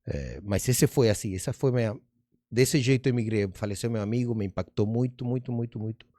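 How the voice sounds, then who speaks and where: A man's voice: mid-range voice, a little fast, mellow, no room sound, clean studio sound. A middle-aged man with a Spanish accent, a native Spanish speaker from Argentina, telling stories as a magician and comedian in a casual interview, rambling and restarting, slipping in Spanish words.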